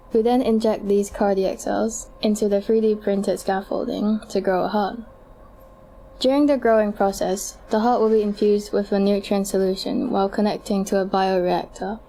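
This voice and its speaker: Soft-toned woman as a clinic doctor, noisy recording. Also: mid-range voice, close to an everyday voice. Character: clinic doctor pitching futuristic treatment options to a patient. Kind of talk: amateur audio drama, scripted explaining.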